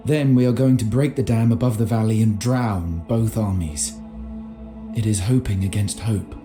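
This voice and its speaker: A man's voice: lowish voice, natural voice. An actor playing a solemn medieval knight who narrates his campaign journal in a video game. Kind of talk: dramatic scripted game narration.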